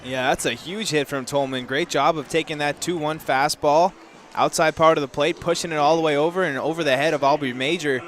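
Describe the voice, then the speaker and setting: A man's voice: on the high side, steady tone, brisk, a bit bright. An American man working as a color commentator, analysing baseball plays on a live broadcast. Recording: faint outdoor ballpark noise. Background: ballpark ambience.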